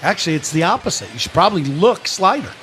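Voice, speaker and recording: Man, high voice, baseball color commentary, conversational live analysis, noisy ballpark broadcast. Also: very animated, fast, fairly bright tone.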